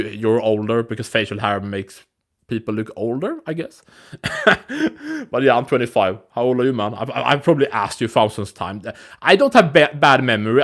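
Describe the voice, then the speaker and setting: Young male streamer, 20s, mid-pitched, casual rambling chat with lots of restarts, recorded on a phone. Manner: rambling, many restarts and fillers. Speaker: livestream host.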